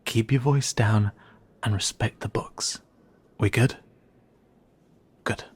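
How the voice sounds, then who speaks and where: A man's voice: lowish voice, takes it slowly, breathy edge, full character voice. A man playing a wary young male cat-person guide in a fantasy audio drama, speaking casually and hesitantly straight to the listener. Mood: instructive. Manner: hesitant, casual, conversational asides.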